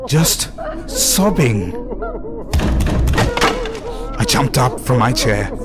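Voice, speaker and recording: A man narrating a scripted horror story in a deliberate, suspenseful way with dramatic pauses. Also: mid-range voice, big pitch swings. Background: music under the voice.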